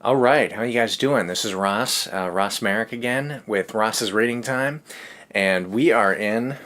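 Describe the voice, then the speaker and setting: Low voiced guy doing a casual read aloud for kids, easygoing and chatty. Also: measured pace, background noise. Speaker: host of a children's read-aloud show. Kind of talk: casual story reading with chatty asides.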